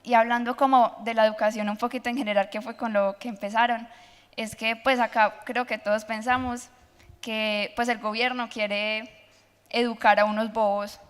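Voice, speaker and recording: A woman's voice: a little high, normal speed, smooth. Passionate teenage girl arguing at forum. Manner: passionate, animated, argumentative. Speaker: panelist. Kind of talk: public forum remarks. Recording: long hall reverb.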